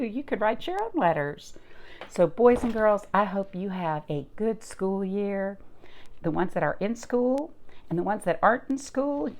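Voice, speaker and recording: A woman reading and chatting to young children as a storytime host, in an expressive sing-song way with lots of simple questions. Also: mid-range voice. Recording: noticeable background noise.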